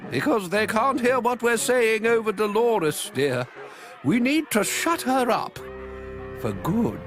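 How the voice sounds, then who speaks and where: A man voicing a calm, scheming woman librarian who speaks in a low, measured, dry way. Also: soft background music, full character voice.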